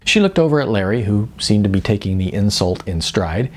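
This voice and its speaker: A man reads a story aloud as its narrator. His voice sits fairly low and moves through lively, varied pitch, giving the storytelling plenty of expression. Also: brisk.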